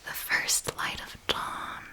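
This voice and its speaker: A woman playing an ancient female vampire mentor in an ASMR roleplay, speaking in a breathy whisper with a slow, deliberate, intimate and seductive manner. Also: bright, forceful tone. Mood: wistful, sensual.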